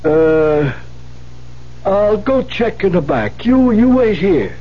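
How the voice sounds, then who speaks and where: An actor playing a shifty, wary small-time shopkeeper and fence in a 1970s radio drama, talking in hemming, streetwise slang. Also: male voice, high voice, very animated, slow pace, heavily acted. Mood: casual, wheedling. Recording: muffled, noisy 1970s radio recording.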